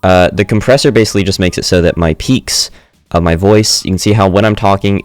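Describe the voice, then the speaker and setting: A man's voice: deep voice, dry recording. A man casually walking through his microphone settings in a screen-recorded tutorial, often correcting himself as he goes. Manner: relaxed, self-correcting explainer. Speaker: streamer demonstrating audio software. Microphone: studio dynamic mic through audio interface.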